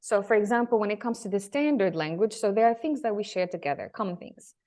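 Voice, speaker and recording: A woman's voice: mid-range voice, fast, darkish tone. Woman hosting, hesitant. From Tunisia. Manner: hesitant, many fillers and restarts. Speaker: podcast host. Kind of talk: casual interview chat.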